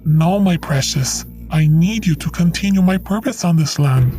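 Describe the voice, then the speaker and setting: A woman voicing a red dog spirit in human form, speaking slowly, calmly and ominously, with music behind. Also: on the low side, natural voice.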